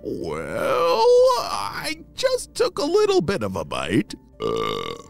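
A woman voicing a polite, shy male monster in a children's story, with drawn-out, wobbly, exaggerated speech over music. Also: high-pitched, vocal fry, voice pushed up, exaggerated voice. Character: gentle slow-talking moon-eating monster, male, polite and shy.

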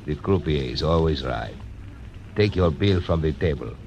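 An actor playing a boastful, excitable casino owner with a Spanish accent, in a 1940s radio drama. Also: male voice, rumbling low, moderate pace, slight background noise. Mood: florid,wheedling. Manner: theatrical, florid, swooping phrases.

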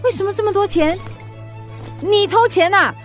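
A strict, exasperated mother in a radio drama, speaking. She interrogates and scolds, quick to anger, over faint music. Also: extremely high pitch. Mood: accusing, angry. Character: strict, exasperated working mother of a teenage girl. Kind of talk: Mandarin radio drama acting.

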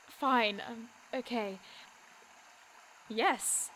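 Actress doing high voiced bookish girl detective, slow n precise, earnest and excitable, audio drama. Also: a little hiss or hum, full character voice, low-quality recording. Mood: puzzled. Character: earnest, excitable young woman sleuth keen on mushrooms.

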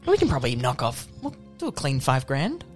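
Lively woman improvising comedy. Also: a bass voice, brisk. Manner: sweary, playful, colloquial. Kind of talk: improvised comedy role-play. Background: music.

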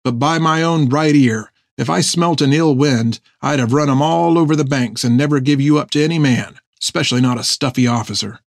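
A man voicing a gruff, earnest sailor sergeant in an audiobook, talking in folksy seafaring dialect to old mates. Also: fairly high pitch, fairly quick, few pauses, dry recording, clean studio sound. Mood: blustering, coaxing. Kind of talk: audiobook character dialogue.

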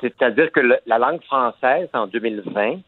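A man, an actor and author giving a radio interview, articulate and measured. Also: medium pitch, no room sound. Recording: phone line.

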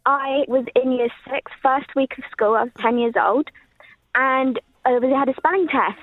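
High-pitched lady caller from the uk, tinny phone line, talks fast and bemused. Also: dry recording. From United Kingdom. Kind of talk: telling an anecdote.

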